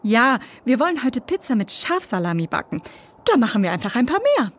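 A woman playing a caring, hospitable mother in a children's audio drama, with an animated delivery. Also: on the high side, brisk. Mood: caring, inviting.

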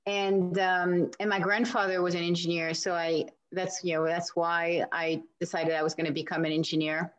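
A woman's voice: lowish voice, flat delivery, moderate pace, hardly any pauses, poor audio. A woman from France with a French accent, chatting as an interview guest and laughing often as she answers. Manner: warm and chatty, laughs often, many restarts.

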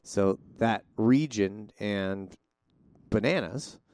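A man's voice: on the low side, drawn-out words, darkish tone, no echo, clean studio sound. A man co-hosting a podcast in casual chat, talkative and theatrical, often restarting his sentences. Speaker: podcast co-host.

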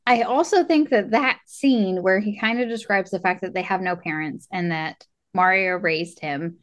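A woman's voice: medium pitch, moderate pace. A woman co-hosting a podcast, chatting casually about a film in a blunt, wry, sweary way. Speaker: podcast co-host. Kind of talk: casual chat recapping a film.

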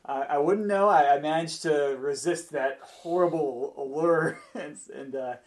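A man telling anecdotes in casual podcast chat, with a measured, dryly humorous delivery. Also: a little high. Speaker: podcast commentator.

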